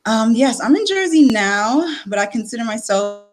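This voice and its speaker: Animated woman telling her story. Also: a little high, normal speed, soft background music. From born in Nigeria, raised in Connecticut. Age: late 30s. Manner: lively storytelling, repeats words, laughs often. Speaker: guest. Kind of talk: casual conversational interview.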